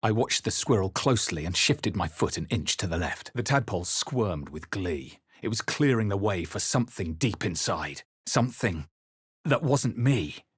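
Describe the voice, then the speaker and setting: Brooding male adventurer for a fantasy RPG: husky, expressive, grave and deliberate, recorded close and dry. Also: fairly low pitch, dry recording. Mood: tense narration. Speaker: player character in a fantasy video game. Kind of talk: dramatic game voice lines and first-person narration.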